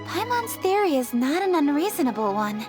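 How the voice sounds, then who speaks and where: A woman's voice: high-pitched, big pitch swings, moderate pace, music in the background. An actress playing a diligent, practical young woman official in a fantasy game, with an articulate, businesslike delivery. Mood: brisk, thoughtful. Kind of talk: scripted fantasy game dialogue.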